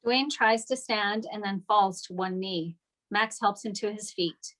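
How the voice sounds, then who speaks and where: A woman reads scripted stage directions as a narrator. Her voice sits at a medium pitch. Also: a little slow, fairly bright tone, low-quality recording.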